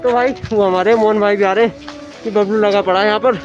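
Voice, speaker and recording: A man's voice: sits high, fairly even intonation, quick pace, dull audio, faint music behind. A young man vlogging outdoors in a village with a phone at arm's length, chatting casually and teasing his friends. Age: young adult. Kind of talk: casual vlog chat to camera. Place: outdoors in a village and on a moving bike. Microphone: phone held at arm's length.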